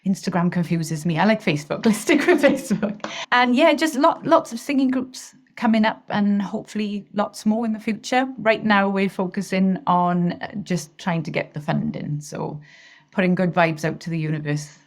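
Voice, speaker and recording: A woman's voice: mid-range voice, normal speed. A woman from Wales, speaking as an interview guest on a video call in an enthusiastic, effusive way and laughing often. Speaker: interview guest, singing teacher. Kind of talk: casual interview chat.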